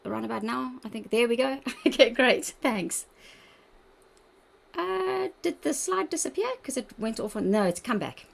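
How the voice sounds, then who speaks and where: A woman's voice: on the high side, expressive, normal speed, vocal fry. South African woman leading a video workshop. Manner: warm, encouraging, chatty with laughs. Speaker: workshop presenter, registered dietitian. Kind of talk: online wellbeing workshop. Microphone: video call.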